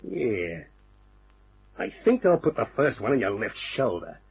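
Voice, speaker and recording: Menacing ex-convict gangster on muffled old radio. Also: male voice, fairly low pitch, very animated, gravelly, breathy edge, exaggerated voice. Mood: gloating threat. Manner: menacing, drawn-out, taunting. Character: vengeful male ex-convict gangster, bitter, menacing, gloating. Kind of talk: old-time radio crime drama acting.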